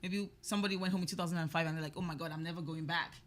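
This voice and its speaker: A woman's voice: lowish voice, racing. A woman from Africa hosting a talk show, chatting and laughing often as she questions her guests. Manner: laughs often, animated and teasing. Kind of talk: casual interview chat.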